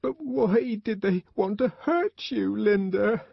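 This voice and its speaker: A man's voice: very high-pitched, drawn-out words, dry recording, highs cut off, pitched above the performer's own voice, full character voice. A man voicing a frightened little boy in an audiobook, pleading with his mother in a thin, strained, tearful voice. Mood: hurt, puzzled. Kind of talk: audiobook character voice.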